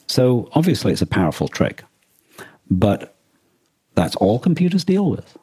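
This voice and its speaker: Male podcast co-host, dark husky tone in a dry close recording, reflective and halting. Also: medium pitch, sing-song, no room sound. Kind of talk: reflective conversational discussion.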